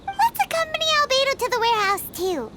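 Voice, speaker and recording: A woman voicing a tiny, excitable, childlike floating fairy companion in a video game, blunt and speaking of herself in the third person. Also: extremely high pitch, quick pace. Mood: curious. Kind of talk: animated video game character acting.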